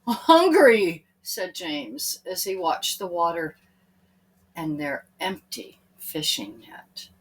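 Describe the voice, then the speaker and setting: Animated, slightly husky woman reading a children's story. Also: sits low, slow, poor audio. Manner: expressive, with asides to young listeners.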